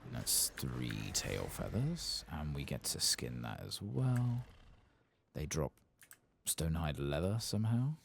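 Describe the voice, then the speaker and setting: Very deep, gravelly soft-spoken man. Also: very animated, takes it slowly, a little hiss or hum. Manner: casual, muttering asides, mild swearing. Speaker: ASMR gaming streamer. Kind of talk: soft-spoken game playthrough commentary.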